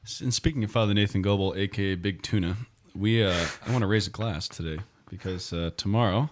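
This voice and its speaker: A man's voice: low-pitched, fairly quick. A man in his thirties hosting a podcast as a priest, bantering and teasing his guests in casual chat. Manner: joking, teasing. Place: studio, sharing a microphone.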